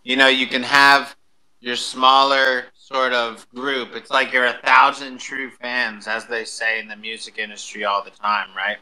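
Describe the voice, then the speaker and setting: Man, host, very sharp bright voice, enthusiastic, informal, promotional panel chat. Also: mid-range voice, level tone, a little slow, low-quality recording. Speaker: host of an audio panel, music performer. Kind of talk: casual promotional panel talk.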